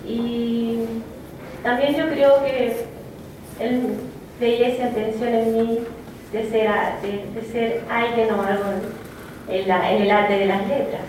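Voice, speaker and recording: A woman poet giving a reflective, halting personal talk, with many fillers and restarts. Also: a little high, fairly even intonation, darkish tone. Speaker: poet speaking of her native language. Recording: echoey room, from a distance, faint noise.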